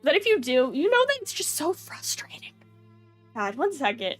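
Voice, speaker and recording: A woman's voice: high voice, very animated, moderate pace, soft background music. A young woman streamer chatting casually with her viewers, her voice nasal and stuffy from a runny nose, full of fillers and giggles. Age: young adult.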